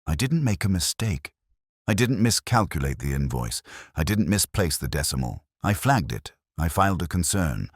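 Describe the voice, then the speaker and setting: A man voicing a wronged female clerk who confesses on tape in a composed, brittle, deliberate way, in an audio mystery. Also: low voice, very animated, husky, no room sound, studio quality, exaggerated voice. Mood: composed, bitter.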